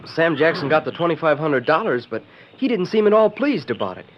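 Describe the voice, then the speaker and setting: A man's voice: fairly high pitch, expressive, fairly quick, close to an everyday voice. An actor playing an earnest, eager boy sidekick in a 1940s radio western, with a youthful manner. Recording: lo-fi noisy 1940s radio recording.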